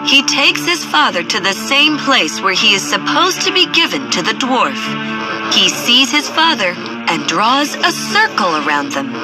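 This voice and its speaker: Lively woman narrating a fairy tale. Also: a little high, unhurried, rough recording. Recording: loud music under narration.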